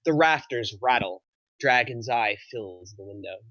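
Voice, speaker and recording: A man's voice: mid-range voice, animated, a little slow, a bit bright, no room sound, lo-fi sound. A man narrating, reading screenplay action lines aloud at a table read.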